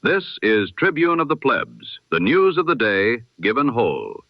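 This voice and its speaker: A man reading the news in the measured, solemn cadence of an old-time radio announcer. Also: medium pitch, huge pitch swings, no echo, dull audio. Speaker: newsreader.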